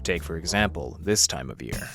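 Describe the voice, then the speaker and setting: An actor playing a world-weary, hard-boiled library detective, narrating his case in a wry, deadpan, mock-tough way. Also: rumbling low, normal speed. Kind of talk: noir radio detective narration. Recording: narration over loud guitar music. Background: guitar music.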